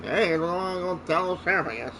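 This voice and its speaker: A man's voice: high voice, animated, brisk, creaky, background noise. A man giving casual let's-play commentary, blunt and informal, reacting in short bursts. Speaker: gamer playing and reacting.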